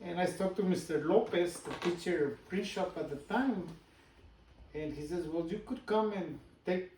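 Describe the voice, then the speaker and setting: Older Guatemalan-accented man, higher pitched. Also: darkish tone, roomy sound, recorded from a distance, a little hiss or hum, low-quality recording. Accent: Guatemalan Spanish. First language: Spanish. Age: 60s. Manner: halting, personal storytelling with pauses and restarts. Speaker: business owner guest. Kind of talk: casual interview.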